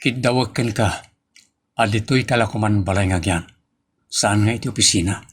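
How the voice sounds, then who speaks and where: A man's voice: on the low side, normal speed, husky. A man with a Filipino accent playing a stern, authoritative office boss who scolds his staff in a radio-style drama. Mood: firm, commanding. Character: stern male office boss, commanding and exasperated.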